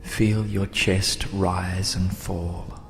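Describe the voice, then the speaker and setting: A man narrating a scripted guided meditation in a soothing, measured manner, with long pauses and soft, breathy phrase endings. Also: low voice, little pitch movement, soft background music.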